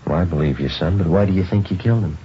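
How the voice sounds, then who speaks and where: Very deep, clipped police sergeant, played by a man. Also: racing, slight background noise, lightly acted. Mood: calm, gently firm. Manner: clipped, matter-of-fact, understated. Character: calm, businesslike police sergeant who narrates his cases. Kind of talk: 1950s radio police drama, clipped acting. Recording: dull old radio recording, music.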